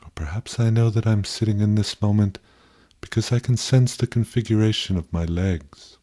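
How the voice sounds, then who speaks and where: A man leading a guided meditation in a gentle, contemplative way, with pauses and softly posed questions. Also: lowish voice.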